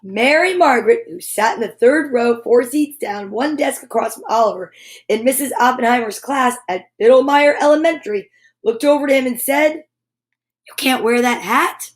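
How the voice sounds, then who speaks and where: A woman hosting children's storytime, reading a picture book aloud with playful, drawn-out sound effects and repeated words. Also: sits high.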